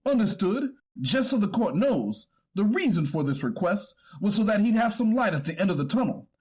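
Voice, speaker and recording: Defense attorney played by a man, high-pitched and very animated, earnest, halting, deferential courtroom pleading. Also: slightly rough, heavily acted. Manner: deferential, formal, halting argument. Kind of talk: readers theater courtroom transcript reading.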